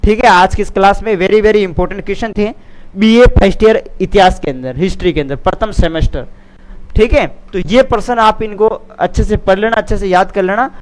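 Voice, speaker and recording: A man's voice: sits high, moderate pace, low-quality recording. A man gives an exam lesson as an online coach. He alternates reading out answers with informal explanation. His manner is didactic, with repeated phrases and frequent checks on understanding.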